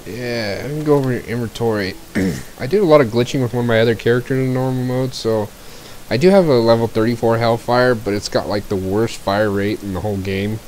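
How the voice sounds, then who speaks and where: A man casually talking through his gameplay as a streamer, a little scratchy from a cold, rambling along with fillers and the odd throat clear into a cheap desk mic. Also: mid-range voice, fairly quick, darkish tone. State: getting over a cold, a bit scratchy. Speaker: game streamer.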